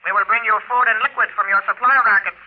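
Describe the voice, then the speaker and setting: High-pitched, coolly formal alien leader. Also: male voice, steady tone. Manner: precise, formal, measured. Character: calm, polite, menacing small alien leader from another galaxy. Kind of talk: 1950s radio drama acting. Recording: vintage radio recording, narrow telephone-like band.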